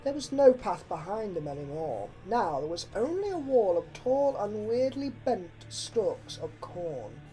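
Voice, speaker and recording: A woman's voice: mid-range voice, big pitch swings, unhurried, few pauses, mellow, soft background music, rough recording. A woman reading a scripted horror story aloud as narrator. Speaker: fan fiction narrator.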